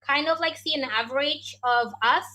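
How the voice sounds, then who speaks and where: Indonesian lady adviser on a video call, bright voice, chatty, lots of "you know". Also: sits high, normal speed. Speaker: education adviser. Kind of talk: answering questions in an online Q&A.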